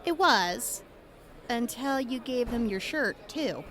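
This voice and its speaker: A woman's voice: on the high side, lively intonation, takes it slowly, noisy background. A woman playing a fiery, blunt young female traveler in a post-apocalyptic audio drama. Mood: teasing. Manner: blunt, combative, teasing.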